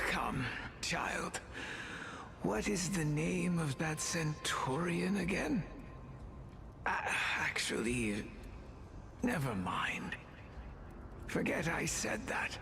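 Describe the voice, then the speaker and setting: A woman playing a weary, gentle elder guardian in a video game, speaking in a hushed, sighing, tender way. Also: lowish voice, big pitch swings, very slow, gravelly, pauses often, a little hiss or hum, full character voice. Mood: resigned, tender.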